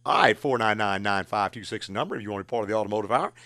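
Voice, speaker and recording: A man's voice: on the low side, racing, pushed and bright. A man, hosting a call-in car advice show in a folksy, plain-spoken way. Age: 60s. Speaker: radio show host and auto repair shop owner.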